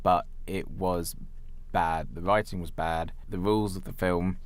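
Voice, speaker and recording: A man with a very low-pitched voice co-hosts a film podcast. His delivery is casual chat, and his manner is blunt and critical. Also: steady tone, a little slow. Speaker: podcast co-host.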